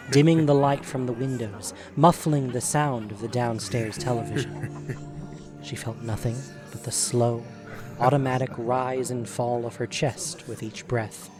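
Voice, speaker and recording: A man narrating a scripted audio drama as a storyteller, in a measured way. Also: mid-range voice. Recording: loud music behind voice. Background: music.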